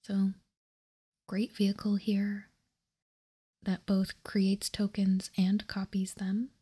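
A woman whispering in a breathy voice as she slowly and deliberately presents trading cards, ASMR style. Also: mid-range voice, completely flat delivery, warm tone. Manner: slow, deliberate, reading card text piece by piece. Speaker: host of a trading card ASMR channel. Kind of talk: ASMR whispered card showcase.

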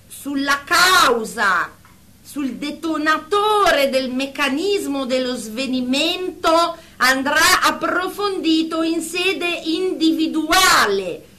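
A woman plays an officious, exasperated therapist in a radio drama. The voice is high and bright, with slow, formal, pedantic phrasing that keeps firm control. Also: animated, exaggerated voice. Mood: formal, controlled.

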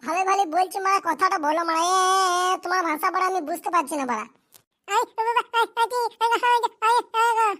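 A performer voicing a hapless, foul-mouthed young cartoon groom in coarse slang, squeaky and childlike. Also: fairly quick, sharp tone. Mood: panicky, exasperated. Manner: coarse, grumbling, rural slang. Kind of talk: amateur comedy cartoon voice acting.

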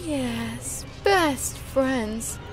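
A woman's voice: high voice, extremely slow. A woman voicing a sly, mocking female killer robot in a cartoon fan dub. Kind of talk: animated series fan dub acting. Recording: clearly audible music behind.

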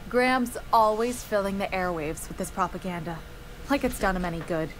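A woman's voice: mid-range voice, a little fast, a little hiss or hum, lightly acted, poor audio. A woman voicing a chatty, eager young sports fan from a frontier town in a video game, talkative and gushing. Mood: wry, chatty. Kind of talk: video game character acting.

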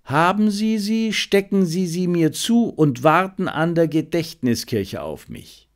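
Shrewd, commanding detective, read by a man, lively intonation. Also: fairly high pitch, pitched above the performer's own voice. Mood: brisk, commanding. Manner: brisk, decisive, instructing. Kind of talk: audiobook character reading.

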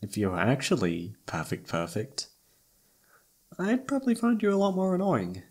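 A man playing a self-doubting, lovestruck best friend in an intimate ASMR roleplay, speaking softly and haltingly with tender hesitation. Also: mid-range voice, huge pitch swings, husky, heavily acted. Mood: self-doubting, regretful. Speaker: amateur voice actor.

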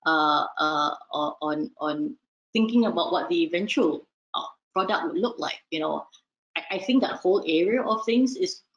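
A woman who owns a café, answering thoughtfully in a video call interview with soft laughs between phrases. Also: lowish voice. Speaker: café owner guest. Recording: dull lo-fi call audio.

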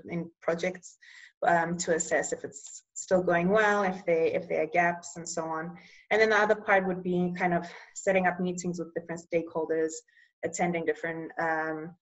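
Young woman, bulgarian is her first language, grew up in eswatini, lowish voice, kinda slow and careful picking words, video call sound. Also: rough recording. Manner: measured, searching for words. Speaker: public health practitioner. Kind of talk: casual interview answers.

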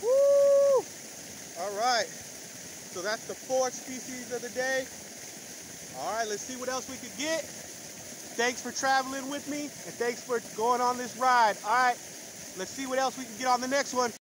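A high-voiced man in his thirties talks straight into a phone held at arm's length, outdoors by the water. His talk is loose and slangy, hyped up, with whoops after catches. The recording has a steady layer of outdoor noise behind him. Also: unhurried, long pauses. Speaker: fishing vlogger. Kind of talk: casual outdoor vlog commentary. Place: outdoors by a pond and river. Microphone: phone camera at arm's length.

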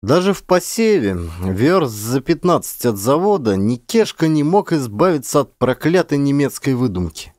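A man reading literary prose as a dramatic audiobook narrator, expressively, with shifting emphasis and pauses. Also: mid-range voice, a little mellow, no room sound, studio quality.

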